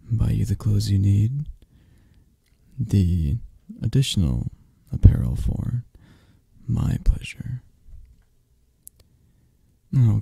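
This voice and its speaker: Guy acting a possessive flirty mafia boss for asmr, low mellow voice, husky murmur, takes his time. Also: dry recording, studio quality, exaggerated voice. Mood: teasing, flirtatious. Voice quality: husky, gravelly, at times breathy. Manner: slow, murmuring, teasing, with drawn-out pauses.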